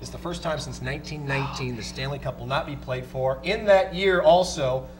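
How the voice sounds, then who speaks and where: An American man working as a TV sports anchor, reading highlights and sports news in punchy, excitable phrases. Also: brisk.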